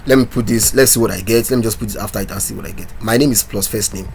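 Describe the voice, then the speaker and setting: A man's voice: on the low side, rapid-fire, vocal fry, darkish tone, a little hiss or hum. A man teaching a coding lesson, talking through his code step by step and asking viewers questions. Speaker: programming instructor. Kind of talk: screen-recorded coding tutorial.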